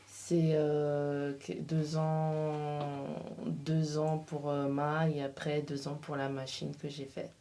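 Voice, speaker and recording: A woman interview guest with a low, soft-edged, mellow voice. She speaks slowly and hesitantly, searching for words as she answers in a casual way. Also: completely flat delivery, a little hiss or hum. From Portugal. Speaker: interview guest, freelance embroiderer.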